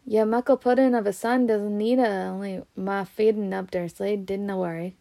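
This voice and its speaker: A woman voicing a gruff, blustery but kindly giant man with a Scottish accent, reading from a storybook. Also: steady tone, moderate pace, warm tone, dry recording, lightly acted. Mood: gruff, plain. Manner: booming, blustery.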